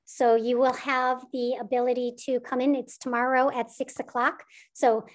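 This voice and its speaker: A woman's voice: high voice, flat delivery, normal speed. A woman school administrator answering parents' questions in an online information session, reassuring and with frequent ums.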